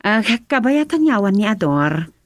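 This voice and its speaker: Give the radio drama a self-assured madam of a wealthy house with a Filipino accent. Her voice is middle-pitched with wide swings, recorded dry. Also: sing-song, unhurried, no room sound. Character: lady of a wealthy house addressing her helper.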